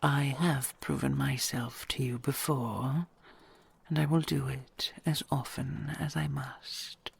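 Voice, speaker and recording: A woman playing a possessive, doting dragon queen in an audio roleplay, speaking in a breathy, creaky near-whisper with regal, formal tenderness. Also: extremely low pitch, fairly even intonation, heavily acted. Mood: earnest, possessive. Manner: slow, regal, formal endearments with soft sighs.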